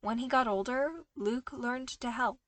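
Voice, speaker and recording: A woman reading scripted fiction aloud as an expressive audiobook-style narrator. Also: a little high, unhurried. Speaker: podfic narrator.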